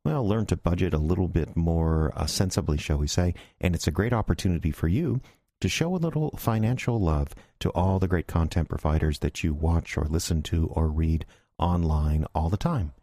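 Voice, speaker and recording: Deep voiced podcast guy doing an informal plug, really dry studio sound. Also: normal speed, warm tone, no echo. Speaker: podcast host. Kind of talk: informal scripted promotional announcement.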